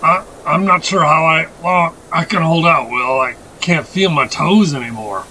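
A man plays a gruff, worn-out father in a dramatic storybook reading. He sounds anxious and exasperated, a little raised in pitch and halting, with background noise behind him. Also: pushed and bright, poor audio. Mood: anxious, strained. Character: weary, anxious, gruff father in a winter emergency. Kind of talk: dramatic audiobook character reading.

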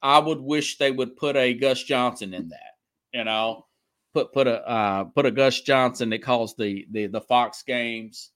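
A man with a mid-pitched voice hosts a solo sports show in a loose, conversational style. He reads and reacts to news in a rambling, halting way, often circling back to repeat a point for emphasis. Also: fairly bright tone. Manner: rambling, hesitant, searching through notes, repeats himself for emphasis. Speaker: sports podcast host.

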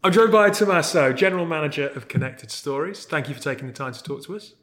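A British man hosting a podcast interview, relaxed and chatty, often starting with "look". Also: on the high side, quick pace. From Britain. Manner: relaxed, leans on "look" and "kind of". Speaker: podcast host.

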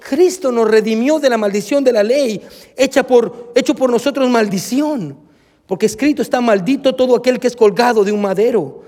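Guy preaching, really high voice, emphatic pastor style, repeats himself, big echoey hall. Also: darkish tone. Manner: emphatic, repetitive, addresses listeners directly. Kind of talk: impassioned sermon with scripture readings. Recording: long reverberation of a hall.

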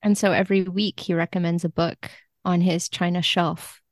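A woman's voice: fairly low pitch, little pitch movement, moderate pace, no room sound, clean studio sound. A woman talking casually as a translator guest in a podcast interview.

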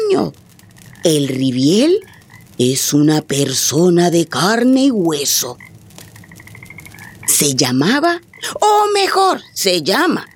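Radio drama: elderly grandmother storyteller, husky, slow, animated, tender yet firm. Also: low voice, exaggerated voice. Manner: unhurried, dramatic oral storytelling.

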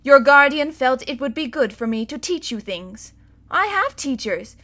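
A woman's voice: high-pitched, very animated, a little slow, bright, forceful tone, pitched above the performer's own voice, full character voice. A woman voicing a stubborn, sulky six-year-old boy who protests and whines.

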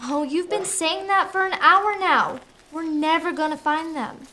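A woman's voice: extremely high pitch, measured pace, very noisy. A woman playing an impatient, whiny young girl in a radio play. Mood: tired, impatient. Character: impatient young girl, sister searching for reindeer.

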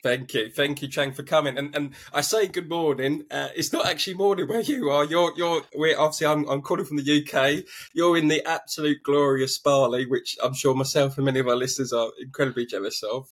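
A man's voice: on the high side, fairly quick. A man hosting a conversational interview podcast, feeling his way through long, rambling sentences. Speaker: podcast host.

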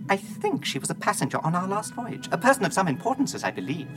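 An actor playing an anxious, servile protocol droid in a radio drama, with prim, clipped diction and a formal, fretful, scolding manner. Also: male voice, high voice, wildly swooping pitch, fast talker, fairly bright tone, faint music behind, exaggerated voice. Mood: formal, deferential.

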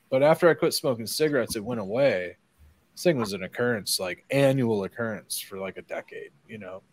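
A nasal, stuffed-up Midwestern man in middle age talks in a loose, rambling way. He swears freely and keeps clearing his throat between sentences. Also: fairly low pitch, darkish tone, a little hiss or hum, low-quality recording. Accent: Midwestern American. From American Midwest. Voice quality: nasal, congested. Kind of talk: casual chat.

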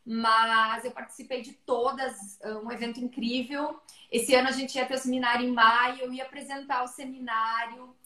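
A woman's voice: a little high, little pitch movement, moderate pace, sharp tone. A woman with a Brazilian Portuguese accent, a guest chatting casually and in a friendly way on a video call. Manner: friendly, chatty, tags sentences with a questioning "né". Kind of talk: casual live chat. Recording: echoey room on a video call, faint noise.

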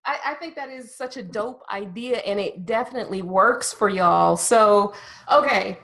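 A woman's voice: mid-range voice, normal speed, a bit bright. A woman hosting a podcast in a casual interview, upbeat and chatty, laughing often. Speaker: podcast host.

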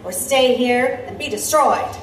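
Lady playing confident victorian girl reporter, radio play, dry wit, highish voice, bit far off mic. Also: a little slow, close to an everyday voice. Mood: defiant. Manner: assured, wry. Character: intrepid, confident female Victorian newspaper reporter. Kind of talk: audio drama acting. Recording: roomy, off-mic, faint noise.

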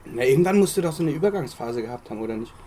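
A man's voice: mid-range voice, lively intonation, very fast, warm tone. A man co-hosting a podcast, chatting casually, joking and rambling, often laughing, with dishes clinking in the background. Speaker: podcast co-host. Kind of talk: casual chat.

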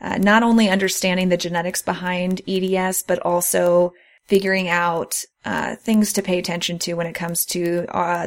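Earnest woman hosting, lower-pitched voice. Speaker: podcast host. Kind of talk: conversational podcast talk.

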